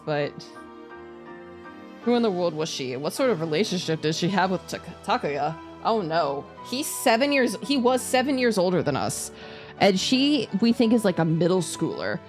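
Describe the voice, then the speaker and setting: A woman's voice: on the low side, big pitch swings, moderate pace. A woman streaming a game, reading story text aloud and dropping in casual, sweary asides. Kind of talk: let's play, reading visual novel text aloud with casual commentary. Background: background music.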